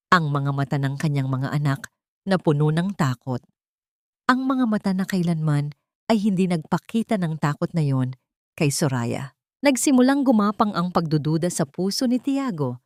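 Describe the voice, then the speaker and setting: Low-pitched Filipino woman narrating solemnly. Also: a little mellow, no echo, studio quality. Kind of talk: scripted dramatic love-story narration.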